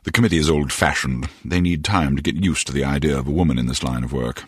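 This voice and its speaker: An actor playing a seasoned, wry older spy and mentor in a video game, with dry, needling humor. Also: male voice, rumbling low, fast talker, slightly rough, no echo. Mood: stern, fatherly.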